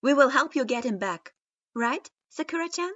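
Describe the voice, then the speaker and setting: A woman puts on a brash young boy ninja who yells and gets worked up at every turn. His high, bright voice is pushed to a loud shout, and the sound is a little dull and lo-fi. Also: normal speed, no echo. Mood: indignant. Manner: shouting, excitable. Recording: dull lo-fi recording.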